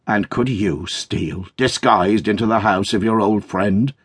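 Storybook honest carrier , played by a man: grave, hurt, reproachful, husky voice, close dry sound. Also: lowish voice, unhurried, no echo. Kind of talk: dramatic storybook character reading.

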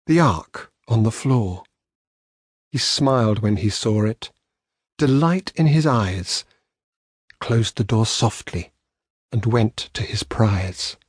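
Man, children's storybook narrator, unhurried, slightly rough-edged voice, scripted verse, measured delivery. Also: mid-range voice, no room sound, clean studio sound. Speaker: audiobook narrator.

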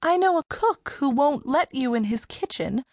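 Audiobook heroine: earnest young teen girl, worried and plain, slightly high voice, very dry. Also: expressive, normal speed, no room sound. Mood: wry. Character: earnest, anxious fourteen-year-old country girl. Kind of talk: audiobook character acting.

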